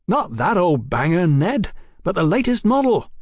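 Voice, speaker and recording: Man playing an eager, high-voiced young fellow. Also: very animated, normal speed, dry recording, studio quality. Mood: boastful, excited. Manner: breezy, colloquial, exclamatory. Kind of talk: audiobook character acting.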